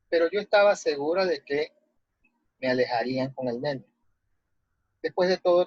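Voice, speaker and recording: A male teacher with a Latin American Spanish accent explains and reads aloud in a didactic way. His voice is husky and sits at a middling pitch. The recording is muffled and very dry, with little room sound. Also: darkish tone, no echo. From Ecuador. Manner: didactic, checking with rhetorical questions. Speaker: high school language and literature teacher. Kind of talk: online lesson, explaining and reading a text aloud.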